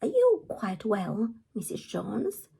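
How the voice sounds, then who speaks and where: A woman's voice: medium pitch, wildly swooping pitch, slow pace, vocal fry, dark tone, husky, exaggerated voice. A woman voicing a bold, bookish young girl in a children's storybook, earnest and questioning. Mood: concerned.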